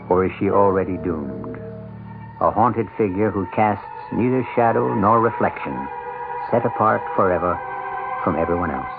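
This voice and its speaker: A low, gravelly man narrates a radio mystery with ominous, theatrical deliberation. The sound is narrow and old, like a phone line, with music playing behind him. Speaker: host and narrator.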